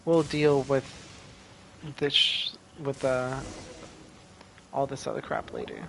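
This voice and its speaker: A man's voice: mid-range voice, slow pace, vocal fry, fairly bright tone, a little hiss or hum, low-quality recording. A man giving casual, laid-back gameplay commentary in short muttered reactions.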